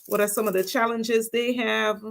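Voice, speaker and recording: A woman's voice: a little high, little pitch movement, moderate pace, creaky, a little hiss or hum. A woman with a Trinidadian accent chatting casually to her viewers on a livestream while she does her nails. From Trinidad and Tobago. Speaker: nail technician vlogger.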